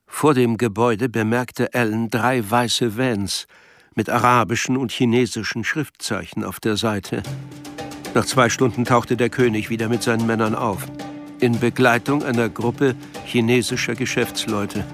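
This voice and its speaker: A man's voice: medium pitch, fairly even intonation, a little slow. Male radio drama narrator over music. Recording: music behind the voice.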